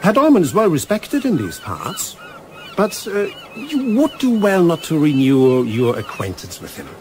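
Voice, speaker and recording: A man's voice: fairly high pitch, huge pitch swings, unhurried, darkish tone. Male actor as German commander, polite menace, German accent, swooping intonation, radio drama, faint noise behind. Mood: veiled warning. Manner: courteous, insinuating, hesitant phrasing. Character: Von Brüning, suave German naval commander, slyly menacing. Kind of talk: radio play acting.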